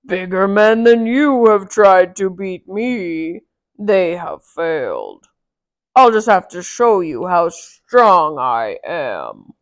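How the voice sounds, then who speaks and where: A woman voicing a huge male storybook giant, boastful and scornful, with a slow, booming delivery. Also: very animated. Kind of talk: children's storybook character voice.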